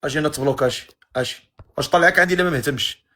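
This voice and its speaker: A man with a Moroccan accent hosting a matchmaking live stream, teasing guests with quick-fire questions. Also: fairly high pitch, sharp tone.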